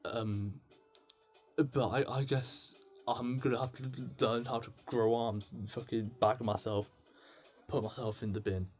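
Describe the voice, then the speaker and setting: A man's voice: medium pitch, steady tone, a little mellow. A British man speaks off the cuff, as if into a personal video diary, with faint music playing behind him. His delivery is casual and confessional. He rambles and halts, restarting thoughts and putting himself down as he goes. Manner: rambling, halting, self-deprecating. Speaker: video diarist. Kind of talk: casual unscripted confessional monologue.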